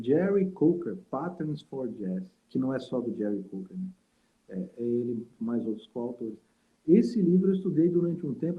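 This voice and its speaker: A man from Salvador, a guest saxophonist and teacher telling stories in a rambling, reflective way with many fillers over a video call. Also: mid-range voice. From Salvador, Brazil. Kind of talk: casual interview storytelling. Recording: tinny call audio.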